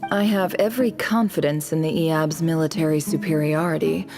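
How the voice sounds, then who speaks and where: Scheming young female envoy, lowish voice, formal and measured, coolly manipulative, video game drama, prominent music. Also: fairly even intonation. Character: cunning, vengeful young woman posing as a haughty ambassador. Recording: music under the voice.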